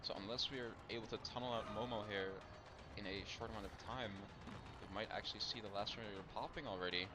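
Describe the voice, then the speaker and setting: A man commentating a live esports match, analytical but breaking into excited outbursts. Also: lowish voice, fast, over music. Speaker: esports caster. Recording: loud noise on a stream mic.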